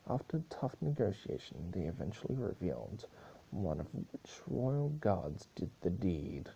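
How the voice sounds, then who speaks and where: A man reads a grim story aloud in a dramatic, amateur style. His voice is husky and very dark, swinging widely in pitch, and he sometimes stumbles over the text. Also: low-quality recording. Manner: stumbling, halting delivery with misreadings corrected mid-sentence. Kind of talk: dramatic reading of grim fan fiction.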